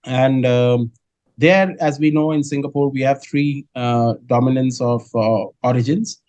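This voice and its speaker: A middle-aged man from Kolkata with an Indian English accent, answering interview questions in a measured way with many filler sounds. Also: medium pitch, steady tone, darkish tone, no room sound, highs cut off. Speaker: guest, dairy business head.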